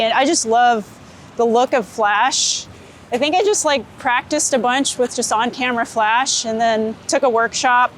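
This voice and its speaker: A woman chatting informally in an easygoing, giggly way, recorded outdoors as a photographer at work. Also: on the high side. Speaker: photographer being interviewed while shooting street portraits. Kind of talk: casual unscripted chat. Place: outdoors on a city street, under a bridge. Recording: outdoor city and traffic noise.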